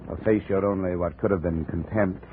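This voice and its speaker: Low-voiced, wry radio detective played by an actor. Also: male voice, steady tone, a little fast, lightly acted. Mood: dry narration. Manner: dry, sardonic, clipped. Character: wry, hard-boiled insurance investigator narrating his cases. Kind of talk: 1950s radio detective narration and acting. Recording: narrow, noisy old radio recording.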